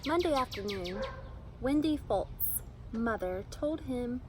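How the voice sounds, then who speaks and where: A woman reading a children's picture book aloud in an animated, emphatic storytelling manner. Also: a little high, slow, background noise. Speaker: storybook reader.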